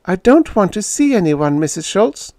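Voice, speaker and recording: A man reading an audiobook puts on a frightened, lonely woman close to tears. Her voice leaps high and loud as she pleads and cries out. The sound is dry and close. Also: very animated, moderate pace, no echo. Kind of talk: audiobook character voice.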